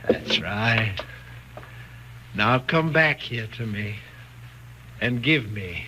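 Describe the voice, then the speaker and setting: An actor playing a domineering, murderous hypnotist in a 1940s radio thriller, slow, commanding and coaxing. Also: male voice, fairly low pitch, lively intonation, sharp tone, full character voice. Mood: menacing, controlling. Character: sinister, domineering male hypnotist with murderous calm. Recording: dull, noisy 1940s radio recording.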